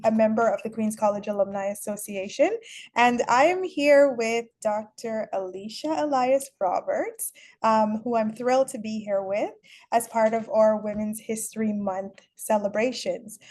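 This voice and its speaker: Upbeat, higher-voiced woman interviewer from Guyana, conversational host. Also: darkish tone. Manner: chatty, upbeat, laughs often. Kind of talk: casual recorded interview.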